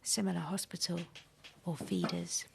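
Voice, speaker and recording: Woman w super croaky fry voice, monotone, reading weird fragmented text, bit of background noise. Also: on the low side, normal speed. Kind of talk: disjointed surreal scripted reading.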